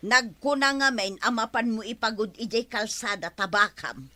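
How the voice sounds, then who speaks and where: A woman's voice: a little high, moderate pace, no echo. A woman playing a nagging, exasperated villager in a radio comedy drama, with an Ilocano accent and a scolding manner. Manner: scolding, animated. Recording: music under the voice. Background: music.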